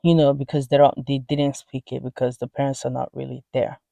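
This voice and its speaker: A woman's voice: rumbling low, level tone, a little fast, creaky, mellow, no echo. A young Nigerian woman with a Nigerian accent, talking earnestly to camera in a casual vlog and dropping in frequent "you know"s. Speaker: vlogger.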